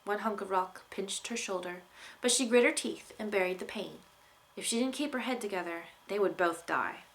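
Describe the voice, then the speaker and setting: A woman narrating a young adult fantasy story as an author reading aloud, with expressive delivery. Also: mid-range voice, normal speed, rough recording. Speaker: author reading from own novel.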